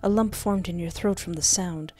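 A young woman hosting a game playthrough on a close microphone, reading text aloud and adding animated, jokey asides. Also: fairly low pitch, normal speed. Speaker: gaming video host. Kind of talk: playful let's play commentary mixed with reading game text aloud. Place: indoor home recording setup. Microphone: close desktop microphone with headset. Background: game music.

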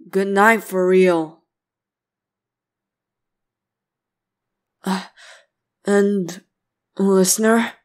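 Woman voicing a very slow-talking, brooding young boyfriend. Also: mid-range voice, a little mellow. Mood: sleepy grumbling. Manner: grumbling, hesitant, sighing. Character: brooding, clingy, possessive young male demon-slayer boyfriend. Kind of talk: boyfriend ASMR audio roleplay.

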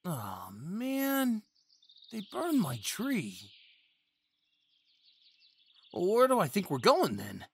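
A man's voice: high-pitched, wildly swooping pitch, very slow, gravelly, pauses often, a bit bright, husky, heavily acted. A young man acting a timid, eager, naive male faun who stammers and pleads sweetly in an ASMR fantasy roleplay. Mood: confused, downcast. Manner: stammering, hesitant, childlike eagerness.

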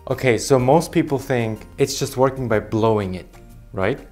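A man in his thirties with an Austrian accent, a guest trombonist patiently explaining his instrument to children in a bright studio. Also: mid-range voice, faint music behind. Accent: Austrian German. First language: German. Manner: slow, patient explaining with light laughs. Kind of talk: friendly teaching for kids.

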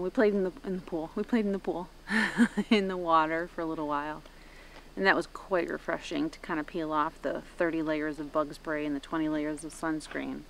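A woman's voice: lowish voice, slight background noise, poor audio. A woman in her thirties, a first-time canoe camper, chatting upbeat and self-mocking to the camera at a forest campsite. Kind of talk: casual outdoor video diary.

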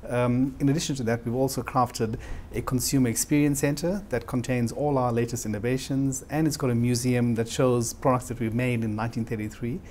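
A man's voice: medium pitch, steady tone, fairly quick, a little mellow, a little hiss or hum. A South African man in his forties answering interview questions as a marketing director. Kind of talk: on-camera interview. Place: office, indoors.

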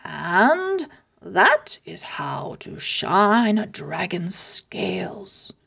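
A woman voicing a patient but firm old male wizard teacher in a children's storybook, measured and sometimes hushed. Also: lowish voice, huge pitch swings, gravelly, husky, exaggerated voice. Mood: instructive.

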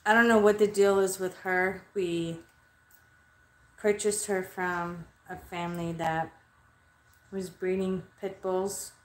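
A woman's voice: medium pitch, flat delivery, slow pace, roomy sound. A woman in her thirties chatting loosely with her livestream viewers from a small bedroom into a webcam, rambling and swearing freely. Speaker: livestreaming spiritual medium. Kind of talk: casual livestream chat. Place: small bedroom under red light. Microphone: webcam at desk distance.

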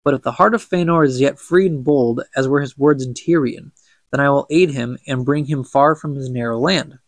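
A man voicing a cunning, proud dark god from a fantasy book, speaking in grand, persuasive archaic speech. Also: mid-range voice, a little fast, no echo, close to an everyday voice. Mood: coaxing, proud.

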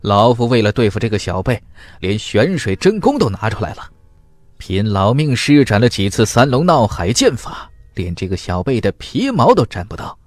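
A man's voice: lowish voice, sing-song, normal speed, no room sound. A man voicing a grudging, bullying old cultivator in an audiobook, indignant, demanding and blustering. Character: grudging, bullying old male water-sect cultivator monster. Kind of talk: audiobook character acting.

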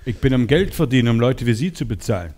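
Male sales trainer, forties, soft-edged timbre, mid pitch, animated and teasing delivery for a live seminar. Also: fast talker. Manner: animated, teasing, role-plays short dialogues. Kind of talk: lively seminar talk to participants. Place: seminar room with participants. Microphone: headset.

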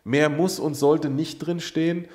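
A man's voice: fairly high pitch, fairly even intonation, measured pace, a little mellow. A middle-aged man hosting a casual studio talk show, blunt and emphatic, repeating key points and now and then swearing. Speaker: show host. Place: studio set with brick wall backdrop.

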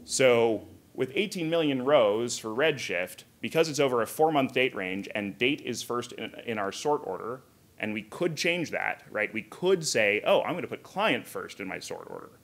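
A man's voice: mid-range voice, animated, normal speed, vocal fry, a bit bright. A man in his thirties speaks at a technical conference in a mid-range voice. He explains things informally and conversationally, dropping in casual asides as he goes. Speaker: conference speaker, software engineer. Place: hotel conference room, indoors.

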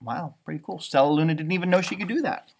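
A man in his thirties with an American accent reading a picture book to children, adding chatty, wondering asides in an expressive way. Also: on the high side, fast, creaky, a little hiss or hum. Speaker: storybook reader for children. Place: indoors, a library with bookshelves.

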